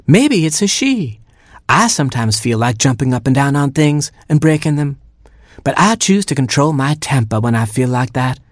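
A man voicing a fussy, quick-tempered mother hen in a storybook, clucking and scolding. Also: mid-range voice, very animated, no echo, studio quality, pitched above the performer's own voice, natural voice. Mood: prim. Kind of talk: storybook character voice.

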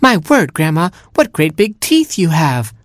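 Little storybook robin boy, put on by a narrator, childlike, naive, curious, raised pitch, animated. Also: a little slow, no echo. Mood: amazed, alarmed. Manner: childlike, wide-eyed wondering.

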